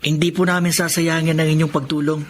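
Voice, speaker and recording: A man's voice: a little high, level tone, a little fast, a little hiss or hum, close to an everyday voice. A man playing a polite, grateful young younger brother in a Filipino radio drama, with a Filipino accent.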